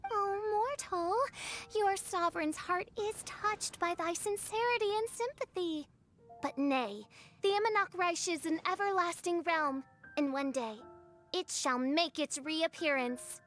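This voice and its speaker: A woman's voice: very high-pitched, measured pace, a bit bright. A woman voicing a grandiose teenage self-styled princess in a video game, proclaiming everything in archaic, melodramatic style. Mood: solemn, theatrical. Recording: music behind the voice.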